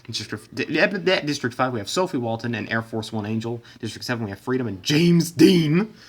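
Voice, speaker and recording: Male host for casual game commentary: quick, rambling, loose delivery with deadpan asides. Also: medium pitch. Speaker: host narrating a simulation.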